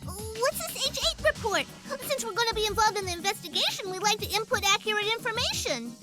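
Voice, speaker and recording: A very high, squeaky little robot voice, childlike and eager in an anime game dub. It asks politely and inquisitively, with loud music behind it. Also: expressive, fairly quick. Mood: curious. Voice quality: tiny, squeaky. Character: childlike, curious, eager small robot machine.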